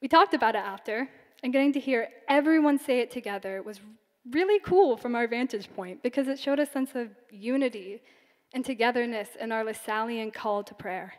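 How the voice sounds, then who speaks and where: A woman's voice: on the high side, expressive. Young woman giving a graduation speech. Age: young adult. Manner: earnest, measured delivery. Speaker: class valedictorian. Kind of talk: commencement speech. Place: large concert hall stage. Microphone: podium microphone. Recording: long hall reverb.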